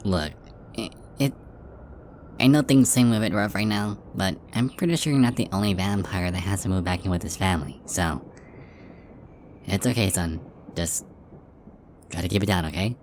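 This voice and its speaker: A man plays a middle-aged vampire father in an ASMR roleplay. His voice is low, with a hesitant, caring, mildly stern parental manner, over faint background noise. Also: moderate pace, pauses often, lightly acted. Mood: gentle, reassuring. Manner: hesitant, gently reassuring, parental.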